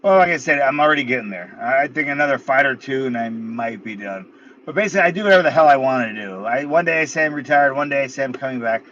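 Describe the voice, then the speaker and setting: A man's voice: mid-range voice, animated, quick pace, a bit bright, lo-fi sound, slight background noise. A man in his thirties, a fighter being interviewed, laid-back and blunt, swearing casually. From United States. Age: 30s. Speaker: guest, MMA fighter. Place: in his car.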